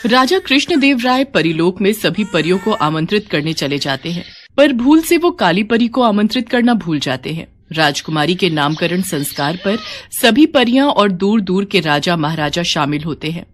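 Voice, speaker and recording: A woman's voice: fairly low pitch, expressive, moderate pace, hardly any pauses, slight background noise. A woman storyteller reading scripted narration of a children's fairy tale.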